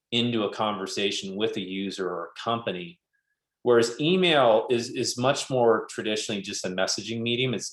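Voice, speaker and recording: Guy with lowish voice doing a webinar talk, echoey room, explains stuff and rewords himself. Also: fairly bright tone. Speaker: webinar presenter. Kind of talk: informal business presentation over slides. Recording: roomy, echoey sound.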